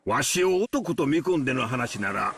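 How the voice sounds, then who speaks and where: A hearty middle-aged merchant with a Kansai accent, boastful and good-natured. His gravelly voice swings up and down in animated visual novel style. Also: medium pitch, fast talker, a loud crowd. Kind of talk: Japanese visual novel voice acting.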